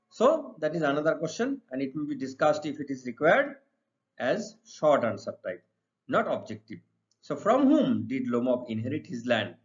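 A middle-aged man with an Indian accent teaching an exam lesson, reading out questions and explaining the answers emphatically with repeated phrases. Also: fairly high pitch. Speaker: English teacher. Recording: dull lo-fi recording.

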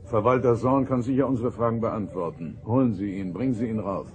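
A man's voice: fairly low pitch, a little fast, a little mellow, muffled recording, a little hiss or hum, natural voice. A man playing a stern, authoritative starship captain in a science fiction audio drama, giving clipped orders. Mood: composed, resolute. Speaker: voice actor.

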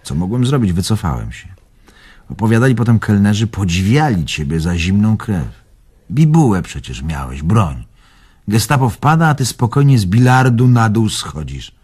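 An actor playing an animated, teasing old man who reminisces theatrically in a radio drama. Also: fairly low pitch, moderate pace, breathy edge. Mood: admiring, dramatic. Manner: theatrical, expansive storytelling.

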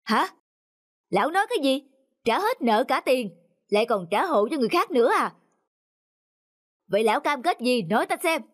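A man's voice: extremely high pitch, big pitch swings, normal speed, long pauses, no room sound, clean studio sound, full character voice. A man playing an arrogant, greedy rich landlord in a cartoon folk tale, haughtily barking orders. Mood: incredulous, angry.

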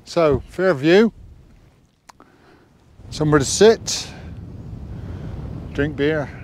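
A man's voice: fairly high pitch, lively intonation, drawn-out words, darkish tone, slight background noise. An English man in his sixties with an English accent, chatting casually and wryly to camera as an outdoor vlogger, on a clip-on mic out on an open hilltop. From England. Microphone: clip-on lapel mic.

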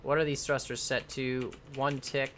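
A young man in his twenties chatting casually as he builds during a live game stream, rambling and thinking aloud, his voice starting to go hoarse. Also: medium pitch, flat delivery, moderate pace, a bit bright, slight background noise. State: voice going hoarse. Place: home room at a computer.

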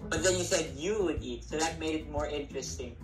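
A woman's voice: sits low, brisk, roomy sound, over music, poor audio. A woman chatting casually on a video call, with a playful, dramatic way of phrasing things. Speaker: sibling on a call. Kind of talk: casual chat.

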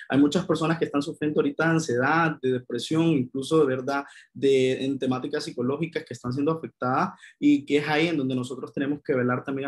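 A young man, speaking earnestly as a panelist on a video call webinar and often adding tag questions. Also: mid-range voice. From Nicaragua. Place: indoor room at home.

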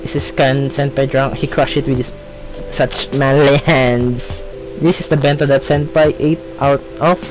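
Slow-talking playful gamer. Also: medium pitch, little pitch movement, poor audio. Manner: playful, reactive, fragmented remarks. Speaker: gaming video host. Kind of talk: casual let's-play commentary. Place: home room, no studio. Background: game music.

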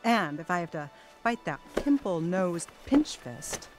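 Blunt, low-key feisty wife. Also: female voice, very animated, a little slow, music in the background. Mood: indignant, protective. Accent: American. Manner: blunt, salty, dry. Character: feisty, blunt, protective 1940s wife of a writer. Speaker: actress in an audio drama. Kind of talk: naturalistic period radio drama.

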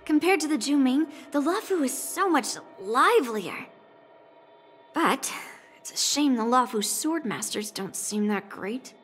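High-pitched brash young swordswoman. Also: expressive, a little slow. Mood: curious, casual. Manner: cocky, casual, blunt. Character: brash, hot-tempered young swordswoman girl. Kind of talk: video game voice acting.

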